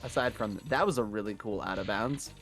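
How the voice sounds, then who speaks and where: A man commentating a live gaming marathon in a casual, chatty, self-deprecating way, his voice hoarse from days of yelling. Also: on the low side, brisk, faint music behind.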